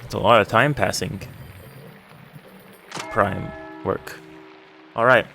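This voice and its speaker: A man's voice: on the low side, steady tone, slow, creaky, sharp tone. Man's casual game commentary, music behind. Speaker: let's play host. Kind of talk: casual gameplay commentary, muttered asides.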